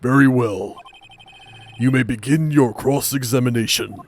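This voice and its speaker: A man's voice: medium pitch, unhurried, pitched below the performer's own voice. A man voicing a hesitant old judge in an amateur game dub, formal and ponderous.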